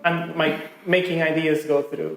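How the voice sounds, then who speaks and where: Curious host, roomy echo. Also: female voice, deep voice, level tone, a little fast, gravelly, slight background noise. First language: Portuguese. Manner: curious, chatty, many backchannels and laughs. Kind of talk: casual interview. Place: small office.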